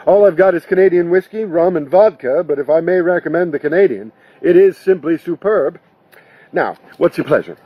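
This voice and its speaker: A man reads the part of a showy nightclub owner from an audiobook novel. He is chummy and persuasive, husky, and fairly high for a man. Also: animated, normal speed, no room sound, phone-quality sound. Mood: suave hospitality. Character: smooth, glad-handing male nightclub owner and bandleader.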